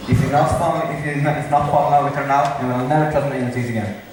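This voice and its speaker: A man's voice: medium pitch, steady tone, racing, darkish tone, close to an everyday voice. A man in an amateur school play, playing a conspiring, gossiping friend and stumbling over his lines. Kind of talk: amateur school stage acting. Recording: distant mic in echoey hall, noisy.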